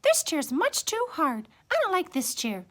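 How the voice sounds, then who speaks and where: A woman's voice: high voice, huge pitch swings, measured pace, fairly bright tone, pitched above the performer's own voice, full character voice. A woman voicing a fussy little girl with exclaiming, singsong lines in a children's storybook tale. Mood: fussy complaining. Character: little girl Goldilocks, hungry, fussy, easily tired.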